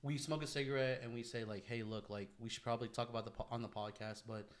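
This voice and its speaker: A man's voice: mid-range voice, steady tone, quick pace. A man co-hosting a podcast, talking casually with slang and swearing. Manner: informal, bro-heavy slangy talk. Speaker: podcast co-host.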